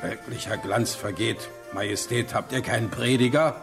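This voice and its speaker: A man's voice: medium pitch, moderate pace, music in the background, natural voice. An actor in a German radio play playing an irascible, pious old Prussian king who curses and blusters imperiously. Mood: grumbling.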